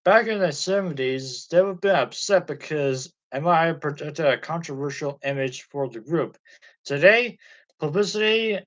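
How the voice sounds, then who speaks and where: A man reading a biography aloud, in a plain way with occasional slips. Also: fairly high pitch. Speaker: audiobook reader.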